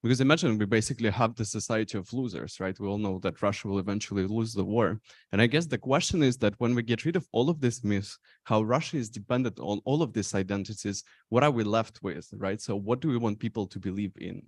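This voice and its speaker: A man's voice: fairly low pitch, quick pace, a little mellow, dry recording, clean studio sound. A young man, a PhD student, asking an articulate question from the audience. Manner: articulate and fluent.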